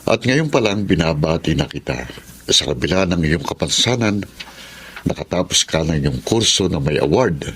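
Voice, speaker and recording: An actor playing a kindly, formal college dean with a measured, avuncular manner in a radio drama. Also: male voice, sits low, animated, fairly bright tone, a little hiss or hum.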